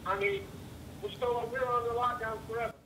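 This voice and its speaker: A man's voice: very high-pitched, little pitch movement, a little fast, sharp tone, echoey room. Noisy phone-in caller, blunt man. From Los Angeles, United States. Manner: blunt, insistent. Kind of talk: opinionated call-in complaint. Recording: noisy telephone call to show.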